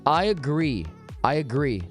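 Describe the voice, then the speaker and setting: Hoarse, slangy man on a live stream. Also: big pitch swings, measured pace, faint music behind. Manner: slangy, profane, bro-talk banter; low energy. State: hoarse, lost voice. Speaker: livestream trading host reading chat. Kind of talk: casual live-stream chat.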